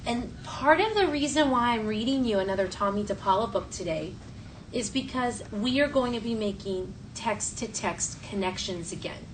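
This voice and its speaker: A woman teacher reading a storybook aloud to her class and prompting the children in clear, instructional phrases. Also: on the high side, animated, moderate pace. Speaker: elementary school teacher. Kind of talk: classroom read-aloud and questioning. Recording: distant mic, faint classroom noise.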